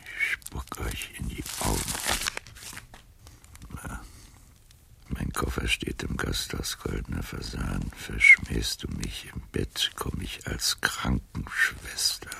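An actor playing a frail, wry old man in a radio drama, muttering to himself in a gravelly, breathy whisper as he reads aloud. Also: a bass voice, slow pace, sharp tone, heavily acted. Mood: musing. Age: older.

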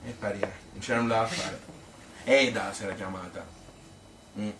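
A man playing a chatty old Tuscan grandmother who tells her life story in a colloquial, rambling, halting stage monologue. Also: lowish voice, fairly even intonation, natural voice. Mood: wistful, matter-of-fact. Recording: roomy, noisy, distant stage recording.

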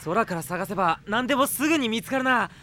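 Eager young lad adventurer, boyish, swoopy excitable voice, radio drama w faint outdoor noise. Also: male voice, high voice, fast. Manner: casual, boyish, excitable speech. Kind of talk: Japanese radio drama acting.

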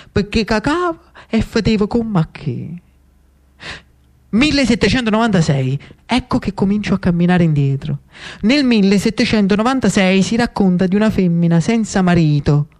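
A male storyteller with a strong Neapolitan accent and a high-pitched voice. He performs a theatrical narrative monologue, lyrical and dramatic, breaking it into short repeated phrases with pauses. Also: darkish tone. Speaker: actor-storyteller.

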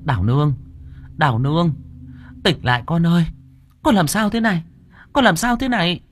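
Man acting a frantic older woman, pitched way up. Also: moderate pace, close to an everyday voice. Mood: alarmed. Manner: stammering, repeating words in alarm. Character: panicked older woman, motherly, frantic and protective.

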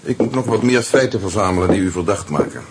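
Sardonic police commissioner, low calm voice, radio play, really noisy recording with clicks. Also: male voice, fast. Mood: dry, probing. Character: dry, unhurried male police commissioner probing a suspect. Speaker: actor in detective drama. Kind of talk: Dutch radio play dialogue.

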